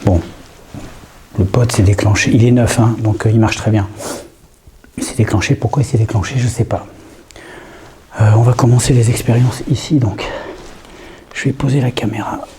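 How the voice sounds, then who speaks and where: Stuffy-nosed guy ghost hunting, soft dark voice, keeps trailing off, bit of hiss. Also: mid-range voice, steady tone, moderate pace, long pauses. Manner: hushed, hesitant, trailing off and restarting. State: congested from a cold, sniffling. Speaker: paranormal investigator. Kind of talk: live ghost-hunting vlog, calling out to spirits. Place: empty old house at night.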